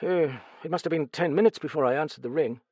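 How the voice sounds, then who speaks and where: A man voicing a nervous, stammering male servant, speaking high above his usual voice. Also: fast talker, a little mellow, dry recording. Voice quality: stammering, frightened.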